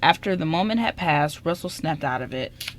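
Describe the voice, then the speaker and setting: A woman's voice: sits low, lively intonation, moderate pace, a little hiss or hum. A woman reading scripted narration for an amateur radio drama as its narrator.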